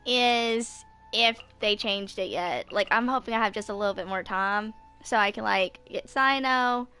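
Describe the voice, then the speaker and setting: A woman's voice: medium pitch, a little slow, sharp tone, clear, resonant tone, soft background music. A woman in her twenties giving casual, chatty commentary as she plays a video game. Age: 20s. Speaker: gaming video host. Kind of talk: casual game playthrough commentary, reading text aloud.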